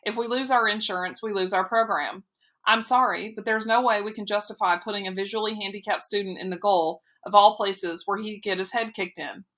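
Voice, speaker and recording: Woman as stiff, apologetic school coach, bright, halting. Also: mid-range voice, fairly even intonation, close to an everyday voice. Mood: firm. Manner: halting, bureaucratic, then softly apologetic. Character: middle school soccer coach, awkward and apologetic.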